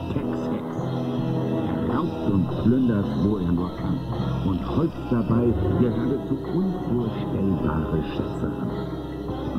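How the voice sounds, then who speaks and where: A man's voice: deep voice, heavily acted. A man narrating a space western audio drama in a grave, dramatic manner. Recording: dull lo-fi cassette sound.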